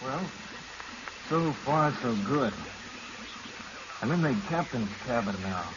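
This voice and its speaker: A man's voice: mid-range voice, expressive, slow pace. A male actor playing a hot-tempered, resentful diver with an American accent and a curt, edgy manner, in 1940s radio drama style. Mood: tense, focused. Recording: muffled, noisy vintage radio recording.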